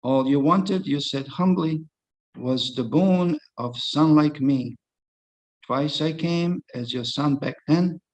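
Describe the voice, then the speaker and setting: A man's voice: on the high side, a little mellow, rough recording. A man voicing the god Vishnu, solemn verse. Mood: solemn, tender. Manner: solemn rhyming verse, slow and measured. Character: Lord Vishnu, divine male god consoling his mother.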